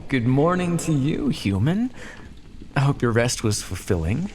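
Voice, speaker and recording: A man's voice: medium pitch, sing-song, a little slow, a little mellow, a little hiss or hum. A man voicing a smug, playfully menacing vampire captor in an ASMR roleplay, speaking to the listener in a theatrical, condescending and teasing way. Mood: mock cheerful. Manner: condescending, theatrical, teasing drawl with pet names.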